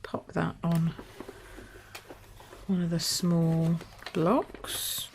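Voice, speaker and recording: A woman from the UK, slightly croaky, demonstrating a papercraft project at her table in a chatty, think-aloud way. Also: lowish voice, level tone, drawn-out words, darkish tone, a little hiss or hum. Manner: chatty, thinks aloud with fillers and small apologies. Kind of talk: casual craft tutorial. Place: indoors at a craft table.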